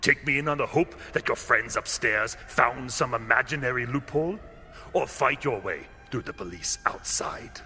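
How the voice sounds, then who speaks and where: A man's voice: medium pitch, normal speed, sharp tone. An actor playing a smug, gloating businessman villain in a radio drama, speaking in a pompous, taunting, theatrical way. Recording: music under the voice.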